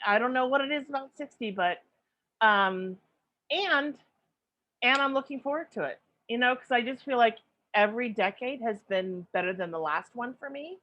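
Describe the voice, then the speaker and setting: A woman in her late fifties chatting casually on a podcast. Her voice sits a little high, and she tells stories in an animated way, laughing often as she talks. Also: moderate pace, dull audio. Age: 50s. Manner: giggly, animated storytelling with frequent laughter. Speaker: podcast co-host. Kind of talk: casual chat.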